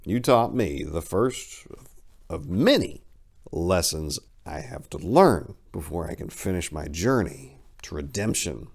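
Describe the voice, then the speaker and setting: An unearthly being from a horror tale, acted out by a male reader, distraught and remorseful yet chillingly calm. The speech is halting, earnest and formal, with big swings in pitch. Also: creaky, breathy edge, exaggerated voice. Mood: solemn, chilling. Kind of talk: dramatic reading of a horror story.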